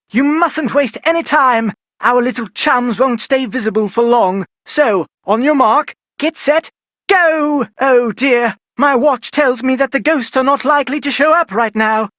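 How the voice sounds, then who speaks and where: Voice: medium pitch, fairly bright tone, no echo, studio quality, lightly acted. An actor voicing a playful young girl in a video game, speaking in a theatrical, conspiratorial storytelling manner. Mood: regretful. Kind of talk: scripted video game dialogue.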